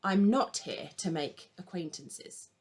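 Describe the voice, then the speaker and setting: A woman voicing an uppity little male elephant shrew, brisk, impatient and officious, in a children's storybook. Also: lowish voice, lively intonation, a little mellow. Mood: haughty.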